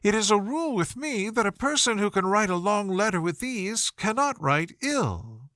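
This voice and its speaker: A gushing young lady of good family, acted out by a man for an audiobook. The voice is lifted high and very animated, fawning over every word and eager to charm. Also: normal speed, no echo, studio quality, exaggerated voice. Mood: gushing, flattering. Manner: effusive, fawning. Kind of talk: audiobook character voice.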